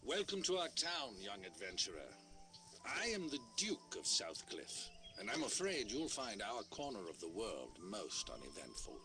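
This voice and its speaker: A man's voice: on the low side, wildly swooping pitch, moderate pace. A man playing a pompous, courteous duke, speaking formally in a theatrical puppet show. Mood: pompous, welcoming. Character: pompous, courteous male duke of a seaside village. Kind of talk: theatrical storybook acting. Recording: music behind.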